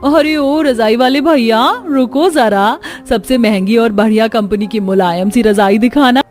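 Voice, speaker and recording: A woman voicing a haughty, high-pitched sneering neighbour woman. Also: unhurried, a little mellow, soft background music, close to an everyday voice. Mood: bossy.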